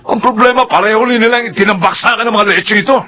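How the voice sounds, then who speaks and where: An actor playing a bitter, overwhelmed father who was left by two wives, shouting through tears in a radio drama. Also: high voice, rapid-fire, lightly acted. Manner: shouting, tearful and resentful. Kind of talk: 1970s-style Filipino radio drama acting. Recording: noisy old radio broadcast.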